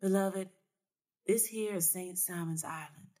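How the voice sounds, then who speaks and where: A woman's voice: fairly low pitch, a little slow, dark tone. A woman voicing a knowing Southern woman seer in a story, folksy, solemn and assured. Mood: amused, knowing.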